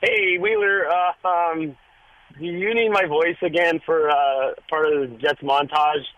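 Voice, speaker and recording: A hoarse man calling in to a radio show, rambling with many fillers. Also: a little high, steady tone, a little slow, dry recording, highs cut off. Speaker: caller. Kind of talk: casual call-in chat.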